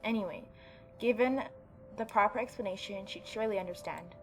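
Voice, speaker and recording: A woman's voice: medium pitch, brisk, a little hiss or hum, poor audio. A woman hosting a let's play, reading a visual novel aloud and adding informal, reactive asides.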